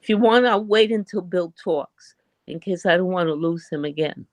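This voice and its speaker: A woman from Puerto Rico, on a video call, gently recounting a childhood holiday custom. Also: lowish voice, moderate pace, no echo. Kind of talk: casual storytelling on a video call.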